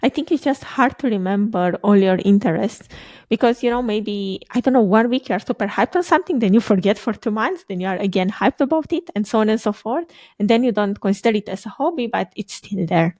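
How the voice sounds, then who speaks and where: A woman's voice: mid-range voice, lively intonation, fairly quick, darkish tone, no room sound. Young Romanian woman, dry close sound. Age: young adult. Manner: chatty, laughs often, hesitant fillers. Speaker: guest, quantum computing researcher. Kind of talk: casual interview conversation. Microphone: video call microphone.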